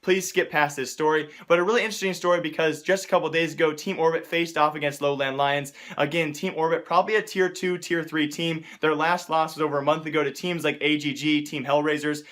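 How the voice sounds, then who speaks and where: Fast-talking man with a higher-pitched voice. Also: little pitch movement, a bit bright. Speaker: host of an esports news show. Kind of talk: casual esports news commentary.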